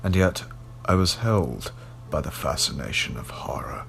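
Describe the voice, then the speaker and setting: A man reading a gothic horror story as a scripted narration, in a measured storytelling manner. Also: deep voice, big pitch swings, gravelly, a bit bright, soft background music.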